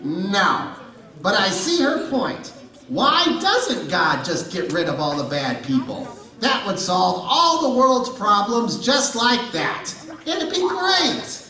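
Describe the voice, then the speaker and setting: Casting: excitable, cocky, naive male puppet sidekick, slowish drawn-out delivery, sharp tone, slightly off-mic. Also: high voice, exaggerated voice. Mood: baffled. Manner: theatrical, drawn-out emphasis. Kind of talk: scripted puppet comedy dialogue. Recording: echoey room, distant from microphone.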